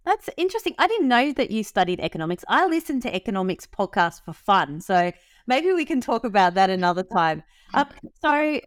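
Upbeat woman host, smooth clear voice. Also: on the low side, dry recording, studio quality. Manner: enthusiastic, lots of ums and likes. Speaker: interview host. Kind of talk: casual interview chat.

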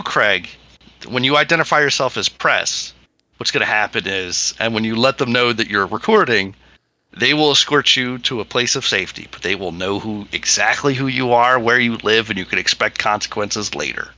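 A man running a tabletop game as game master, narrating in a laid-back, hesitant way with many pauses. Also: medium pitch, bright, forceful tone, low-quality recording.

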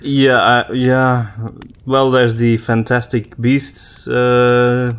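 Medium-pitched man in relaxed discussion. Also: very slow, clear, resonant tone. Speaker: podcast co-host. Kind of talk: casual book discussion.

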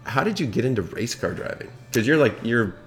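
A middle-aged or older man hosting a casual podcast interview in a jovial, somewhat halting way. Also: mid-range voice, expressive, soft background music. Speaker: podcast host.

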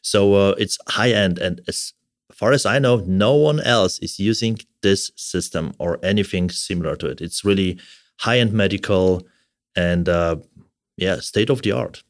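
German-accented man, lowish dry voice. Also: dry recording. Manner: halting, many fillers and restarts. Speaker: orthotics specialist guest. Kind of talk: casual interview.

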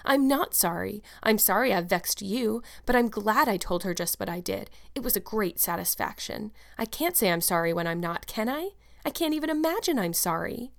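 An imaginative, hot-tempered orphan girl of eleven from a classic storybook, played by a woman reader. She speaks with emphatic, wounded drama and pitches her words a little high. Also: lively intonation, normal speed, gravelly, hardly any pauses. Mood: mournful, defiant. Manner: wailing, pleading, emphatic.

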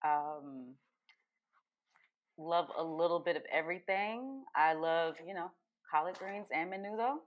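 A woman with a low-pitched voice talks as a podcast guest on slightly dull, muffled audio. She is a therapist with a casual, playful and informal way of speaking. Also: unhurried. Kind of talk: casual self-introduction.

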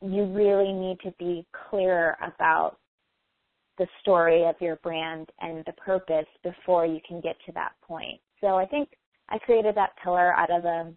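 A woman's voice: on the low side, steady tone, no echo. Earnest woman guest on a tinny line. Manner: earnest, halting, restarts phrases. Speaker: brand designer and guest. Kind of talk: casual interview answers. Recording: tinny phone-like line, dry.

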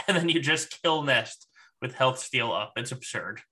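A man with a mid-range, bright and forward voice chats as co-host of a gaming podcast. His delivery is informal and conversational. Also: mid-range voice, lively intonation, measured pace. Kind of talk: casual chat about a mobile game.

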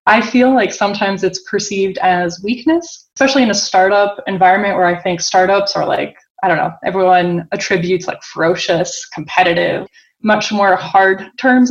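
A woman's voice: medium pitch, muffled recording. An American woman in her thirties talking casually as an interview guest, acting out quotes as she explains. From United States (Boston). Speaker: interview guest, tech entrepreneur.

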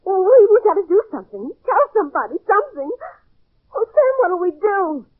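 A woman's voice: extremely high pitch, very animated, fairly quick. A woman playing a timid, worried young wife in an old-time radio drama, pleading and hesitant. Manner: pleading, hesitant, breathlessly anxious. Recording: tinny phone-like vintage radio recording.

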